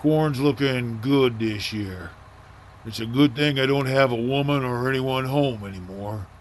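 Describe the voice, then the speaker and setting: An actor playing a big, selfish, murderous farmer in a horror radio play, muttering menacingly to himself and bursting into shouts. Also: male voice, medium pitch, a little slow, slight background noise, natural voice.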